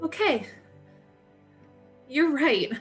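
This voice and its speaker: An actress playing a young sorority woman in an audio drama, anxious and defensive. Her voice is high and swings widely, yet she takes her time, halting with exasperation. Music plays lightly behind her. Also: big pitch swings, heavily acted. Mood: soft, vulnerable. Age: young adult. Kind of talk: dramatic reading, naturalistic acting.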